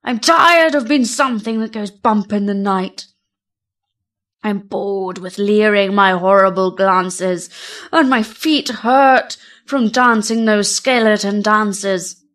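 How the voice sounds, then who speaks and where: Storybook skeleton man played by a woman: gaunt, theatrical, emphatic, unhurried and declaiming. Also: medium pitch, a bit bright. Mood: weary, fed up. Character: tall thin male skeleton, weary of scaring, dramatic.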